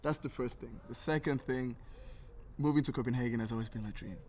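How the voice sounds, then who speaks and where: A man's voice: medium pitch, brisk, darkish tone, a little hiss or hum. A young man from Tanzania talking casually and earnestly as an interview guest, thinking things through. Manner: reflective, earnest.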